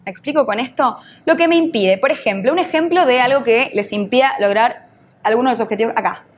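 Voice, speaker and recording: Una mujer con acento argentino, entrenadora que da un taller en vivo y le hace preguntas al público. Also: female voice, a little high, quick pace, slight background noise. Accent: Argentine Spanish. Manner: questions the audience, uses voseo, teacherly. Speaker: NLP trainer and coach. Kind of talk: live workshop talk.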